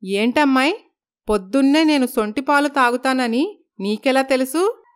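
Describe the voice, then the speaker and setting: A woman voicing a stern, commanding middle-aged mother-in-law who admonishes with both affection and threat in an animated story. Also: high-pitched, normal speed, clean tone. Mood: approving.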